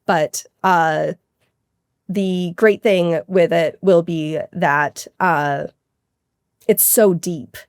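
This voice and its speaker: A woman's voice: lowish voice, fairly even intonation, very slow, clean tone, dry recording, studio quality. A woman speaking as an astronomer guest in an interview, explaining technical things in a keen, informal, conversational way. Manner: keen, informal, technical with frequent hedging asides.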